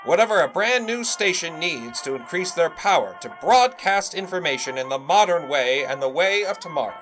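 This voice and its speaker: A man's voice: a little high, lively intonation, moderate pace, fairly bright tone, soft background music, poor audio. An actor playing a pompous young radio salesman and announcer in a 1920s audio drama, full of showy old-fashioned patter. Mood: boastful.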